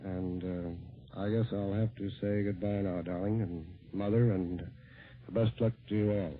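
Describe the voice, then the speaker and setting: Young male American serviceman, fairly low, shy halting homey chat, narrow old-radio sound. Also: steady tone. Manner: halting, self-conscious. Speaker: serviceman speaking to family on air. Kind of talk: casual family call. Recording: tinny band-limited 1940s radio broadcast.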